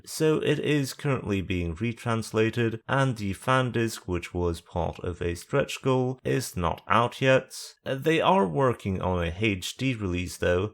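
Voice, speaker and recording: Male video-essay host, low-pitched, deadpan sarcastic read. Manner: dry, sarcastic. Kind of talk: scripted narration.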